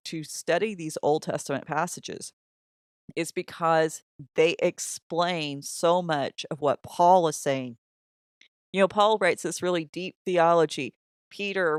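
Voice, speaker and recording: A woman's voice: sits low, clean tone, dry recording, studio quality. A woman co-hosting a podcast, talking through scripture in a casual, conversational and explanatory way. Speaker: podcast co-host. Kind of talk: casual Bible discussion.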